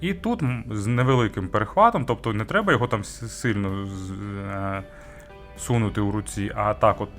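Low-voiced man reviewing a gadget over music. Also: fairly bright tone. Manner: conversational, explanatory, hesitant pauses mid-sentence. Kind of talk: semi-scripted product review talk.

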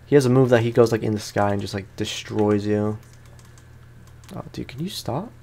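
A young man giving casual, slangy let's play commentary with animated, playfully exasperated reactions, as a gaming YouTuber. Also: lowish voice, moderate pace, vocal fry. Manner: slangy, informal.

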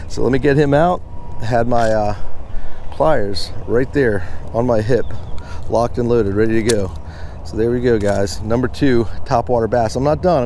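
A man's voice: medium pitch, a little mellow, slight background noise. An American man in his thirties or forties giving casual, chatty commentary to viewers as a fishing vlogger, recorded outdoors. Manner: chatty, informal, addresses viewers as guys. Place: outdoors beside a pond.

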